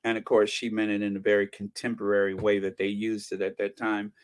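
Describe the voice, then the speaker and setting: An older man hosting a commentary channel, talking with a hoarse, scratchy voice and dry sarcasm. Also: lowish voice, monotone, fairly quick. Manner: wry, sarcastic asides. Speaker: channel host. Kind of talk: casual commentary, reading viewer comments.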